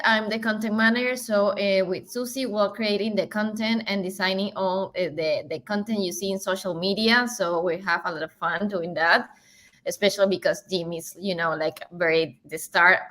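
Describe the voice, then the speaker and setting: A woman's voice: medium pitch, a bit bright. Cheery venezuelan-born woman, lots of ums, on a video call. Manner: cheerful, halting with fillers. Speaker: content manager. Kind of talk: casual team introduction.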